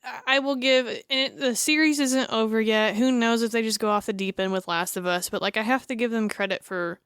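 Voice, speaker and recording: Woman podcast co-host, animated and blunt, smooth clear voice, dry close mic. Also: on the high side, fairly quick, no room sound. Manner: animated, drops into mocking quoted voices, swears freely. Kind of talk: casual chat.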